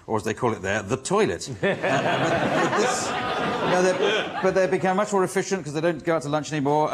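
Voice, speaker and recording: A man's voice: a little high, breathy edge. Joking male panel show host. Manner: joking, teasing.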